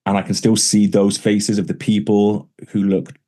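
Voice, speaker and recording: A man's voice: fairly low pitch, completely flat delivery, moderate pace, warm tone. A man, a pastor, talking in an interview conversation, with a stammer that shows in repeated words and restarts.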